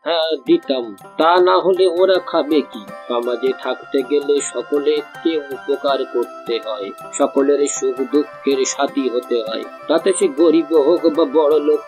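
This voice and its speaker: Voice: mid-range voice, a little slow, few pauses, darkish tone, soft background music, lightly acted. A performer voicing a kindly, moralizing old grandfather telling stories in a cartoon, folksy and instructive. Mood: gentle, wise.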